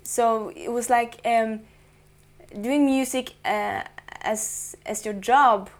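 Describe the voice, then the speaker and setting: A woman's voice: fairly high pitch, steady tone, slow. A young woman in her twenties with a Norwegian accent, answering interview questions in friendly, brief replies with hesitant fillers. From Norway. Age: 20s. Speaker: guest musician. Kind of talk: casual interview.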